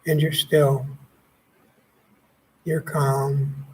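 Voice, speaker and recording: A man leading a guided meditation as a teacher, speaking calmly and gently in short phrases with pauses. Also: medium pitch, breathy edge, poor audio. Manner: calm, gently instructive, pausing between short phrases.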